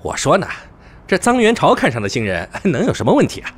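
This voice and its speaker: Man acting a high-pitched, sneering shareholder. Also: huge pitch swings, a little hiss or hum. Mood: impressed. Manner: exclamatory, needling, with drawn-out interjections. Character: carping, sneering male shareholder, blustery and fickle. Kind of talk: Chinese audio drama acting.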